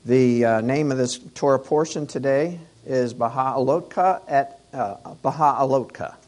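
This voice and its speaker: A man teaching a weekly Torah portion, hoarse from losing his voice, talking conversationally with rhetorical questions. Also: medium pitch, unhurried, a little mellow.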